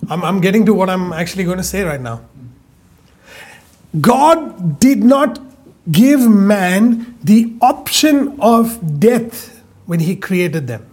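A man preaching a sermon, emphatic, repeating phrases and drawing out key words slowly. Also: high-pitched, animated, darkish tone, husky.

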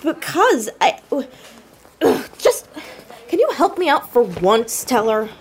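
A woman playing an earnest, headstrong, romantic teenage girl in a naturalistic audio drama, excitable and stammering when flustered. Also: fairly high pitch, slow, slight background noise. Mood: pleading, exasperated. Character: earnest, headstrong, romantic fourteen-year-old girl amateur sleuth.

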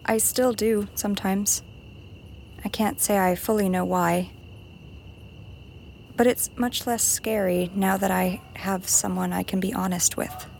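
A woman playing a gentle, sincere character in an audio drama, soft, earnest and reassuring. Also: medium pitch, steady tone, a little slow, creaky, long pauses, slight background noise. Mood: gently reassuring. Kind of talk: audio fiction drama acting.

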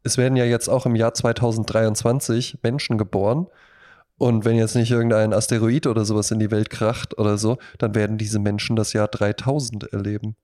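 A man's voice: on the low side, level tone, a little fast, mellow. A man hosting a podcast, chatting casually about music and telling stories in an anecdotal, conversational way. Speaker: podcast host.

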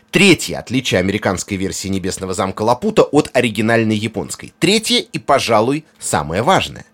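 Husky man lecturing with lively, swinging intonation. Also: mid-range voice. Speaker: lecturer on film music. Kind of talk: semi-scripted explanatory narration on music and film.

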